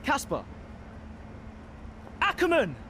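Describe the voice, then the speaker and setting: A man's voice: extremely high pitch, huge pitch swings, slow, exaggerated voice. An actor playing a hot-headed young male rookie racing driver, indignant and confrontational in a video game cutscene. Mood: shouting. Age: young adult. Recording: clear outdoor and vehicle noise.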